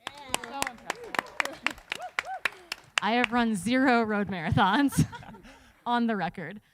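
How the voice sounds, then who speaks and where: A woman's voice: a little high, big pitch swings, takes it slowly, a crowd in the background. A woman hosting a live, casual stage interview in a rambling, chummy, joking manner. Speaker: host interviewing runners on stage.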